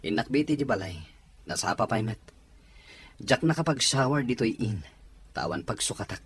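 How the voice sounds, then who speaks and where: A man's voice: mid-range voice, lively intonation, moderate pace, husky. A man voicing an evasive married businessman who dodges questions, in an audio drama. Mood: friendly.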